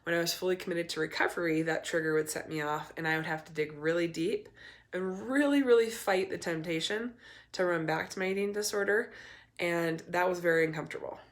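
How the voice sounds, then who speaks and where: A young adult woman, hoarse and raspy from a cold, talking candidly to camera as a recovery coach, with many ums and restarts. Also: fairly low pitch, brisk. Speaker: eating disorder recovery coach. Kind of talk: casual talk to camera.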